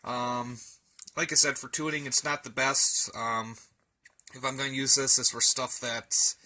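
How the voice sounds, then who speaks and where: A man's voice: medium pitch, flat delivery, unhurried, bright, forceful tone, rough recording. A man giving a casual, rambling show-and-tell indoors, thinking aloud in a folksy, colloquial way. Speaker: hobbyist presenter. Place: indoors, in a room at home.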